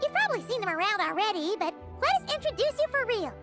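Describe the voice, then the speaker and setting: Squeaky, tiny fairy companion. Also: female voice, big pitch swings, fast talker, over music. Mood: cheerful. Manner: squeaky, perky, chatty. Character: tiny, cheerful, childlike female fairy companion. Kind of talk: video game voice acting.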